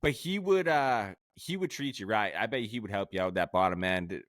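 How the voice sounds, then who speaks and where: A man's voice: lowish voice, animated, brisk, fairly bright tone, no echo, studio quality. A man co-hosting a podcast, casual and laid-back, telling stories with some swearing. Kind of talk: casual chat.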